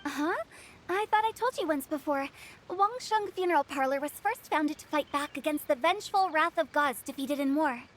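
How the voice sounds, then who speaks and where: Woman as high-pitched, playful young funeral director. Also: moderate pace, a little hiss or hum, natural voice. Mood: brisk explaining. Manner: playful, theatrical, teasing storyteller. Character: playful, quirky young female funeral director, sly and theatrical. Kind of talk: video game voice acting. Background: music.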